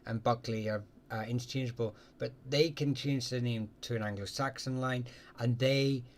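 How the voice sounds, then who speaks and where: A man hosting a live question-and-answer stream, talking casually and haltingly with many ums and restarts as he answers viewers. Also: mid-range voice, steady tone, a little hiss or hum.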